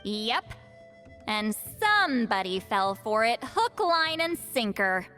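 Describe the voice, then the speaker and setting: A sassy, proud young female seer from a video game. Her high voice swoops expressively, brash and teasing. It carries a long reverb with music behind it. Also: big pitch swings, slow pace, sharp tone, heavily acted. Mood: smug. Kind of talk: animated video game cutscene acting.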